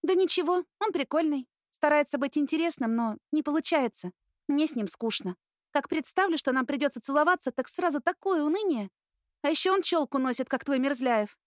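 A bubbly, stubborn young student acted by a woman narrator chatters excitably in a very high, girlish voice, pushed well up for an audiobook character. The recording is dry and close. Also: a little fast, no echo. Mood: playfully complaining.

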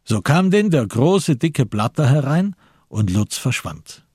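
A man with a gravelly, husky voice reading literary narration for a crime radio play. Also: medium pitch, sing-song, a little slow, a little mellow, no room sound, clean studio sound. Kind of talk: scripted literary narration with reported speech.

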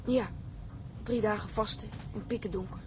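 A woman's voice: steady tone, normal speed, natural voice. A young woman playing a plucky, cheeky and direct stowaway in a naturalistic drama at sea. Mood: defiant. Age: young adult. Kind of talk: naturalistic Dutch drama acting. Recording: clear engine rumble and ship noise.

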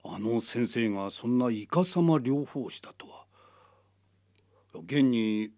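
A man's voice: medium pitch, lively intonation, slow pace, dark tone. An actor playing a stern, troubled middle-aged father in an anime radio drama, speaking in a heavy, authoritative way. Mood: disbelieving, uneasy.

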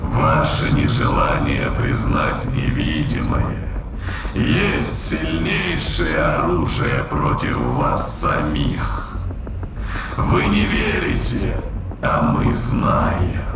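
An actor playing an ancient spirit inhabiting an ape's body, haughty and menacing, speaking in solemn, archaic, contemptuous phrases in an audio drama. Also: male voice, a bass voice, wildly swooping pitch, very slow, exaggerated voice. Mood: contemptuous, proud. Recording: echoing, distant voice under loud music.

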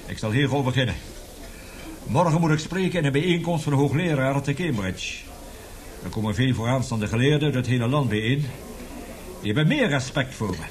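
A man's voice: medium pitch, expressive, brisk, close to an everyday voice. An actor in a radio drama playing an embittered, passionate male professor who lectures emphatically and indignantly. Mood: determined.

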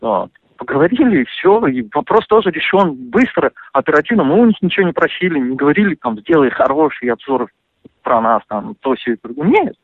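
A man talking over the phone, animated and indignant, full of fillers and restarts. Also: a little high, fairly quick, dry recording. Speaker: interviewee on a phone call. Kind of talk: casual phone conversation. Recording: dry tinny phone line.

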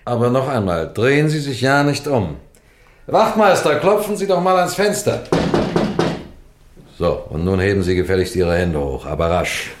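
A man's voice: medium pitch, huge pitch swings, normal speed, noisy background. A man playing a wily, composed police detective in an old-fashioned radio crime play, courteous and teasing in a theatrical way. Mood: commanding, triumphant. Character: wily, composed male police detective telling his own case. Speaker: narrator and lead actor.

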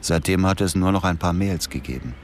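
An actor playing a weary former intelligence agent, narrating matter-of-factly in the first person in a radio drama. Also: male voice, sits low, a little fast, a little hiss or hum, close to an everyday voice.

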